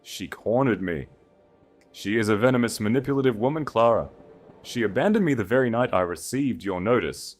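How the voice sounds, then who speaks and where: A man's voice: mid-range voice, expressive, fairly quick, faint music behind, pitched above the performer's own voice, close to an everyday voice. A man voicing a weak, self-pitying male aristocrat in an audiobook, pleading and overwrought. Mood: desperate pleading.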